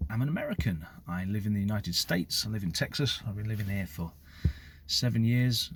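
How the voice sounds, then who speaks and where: A man's voice: fairly low pitch, animated, a bit bright, slight background noise. British man explaining his view. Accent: British English. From United Kingdom. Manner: measured, explanatory, occasional fillers. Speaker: former police officer giving his view. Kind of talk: direct-to-camera opinion talk.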